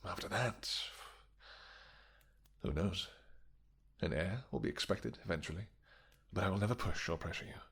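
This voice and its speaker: Man playing a deep-voiced, devoted vampire king. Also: moderate pace, gravelly, long pauses, slightly rough, full character voice. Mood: tender, devoted. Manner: formal, courtly, affectionate phrasing. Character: tender, possessive male vampire king, courtly and devoted. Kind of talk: romantic fantasy audio roleplay.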